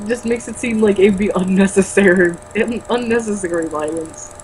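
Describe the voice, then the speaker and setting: A woman's voice: medium pitch, normal speed, a little mellow, slight background noise, rough recording. A woman reading a novel aloud as an amateur, tripping over words now and then and breaking off for blunt, mocking, casual commentary. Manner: stumbles over words when reading, blunt and sweary in asides.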